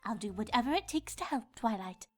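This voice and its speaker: A woman's voice: a little high, animated, brisk, faint music behind. A woman voicing a dramatic, genteel, doting female cartoon unicorn fashion designer with a refined, theatrical manner. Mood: polite. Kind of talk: cartoon fan-fiction voice acting.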